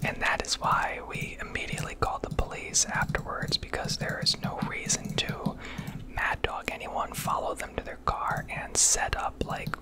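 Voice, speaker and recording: An American woman rambling candidly and casually in a breathy ASMR whisper, swearing now and then, recorded on a close microphone. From United States. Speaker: ASMR video creator.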